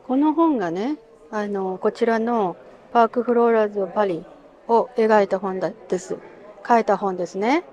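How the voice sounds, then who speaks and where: A woman's voice: mid-range voice, moderate pace, darkish tone, muffled recording. A woman giving casual commentary to viewers while she films her walk through a bonsai expo. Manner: chatty, talks to viewers while filming. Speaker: vlogger touring a bonsai expo. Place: bonsai pavilion and garden tents, partly outdoors. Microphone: handheld camera, speaker off screen.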